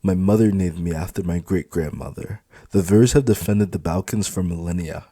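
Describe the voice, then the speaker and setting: Man voicing a defiant, sharp-tongued young woman. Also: deep voice, normal speed, breathy edge, no room sound, clean studio sound. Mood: proud, indignant. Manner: indignant, blunt, challenging. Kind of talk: dramatised audiobook dialogue.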